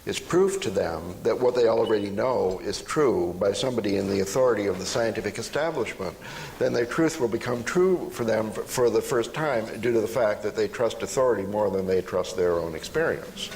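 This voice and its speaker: A man speaking on a panel in an informal public discussion, with a halting, reflective and wry manner. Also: lowish voice, creaky, darkish tone. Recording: noisy, long hall reverb, live event.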